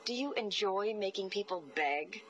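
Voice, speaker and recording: Female actor as cool cat burglar woman, sassy, sardonic, mid-pitched, expressive, defiant. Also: moderate pace, slight background noise, natural voice, poor audio. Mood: defiant, scornful. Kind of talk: video game voice acting.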